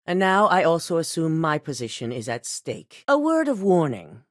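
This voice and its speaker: Cold game CEO: low, expressive voice, icy and commanding, speaks down to her hires. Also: normal speed, no room sound, studio quality. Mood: stern. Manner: curt, stern, condescending. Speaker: company chief giving orders. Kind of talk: video game voice acting.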